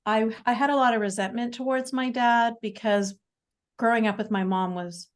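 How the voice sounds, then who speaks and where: A Canadian woman in her forties, a guest speaking in a casual interview, talking haltingly with many fillers and restarts. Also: a little high, steady tone. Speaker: podcast guest.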